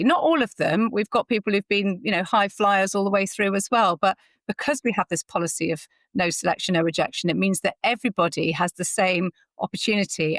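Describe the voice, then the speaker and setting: A woman's voice: medium pitch, fairly bright tone, no echo. A woman speaking as an interview guest in an articulate, enthusiastic, conversational way. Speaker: interview guest, founder of an audio training platform.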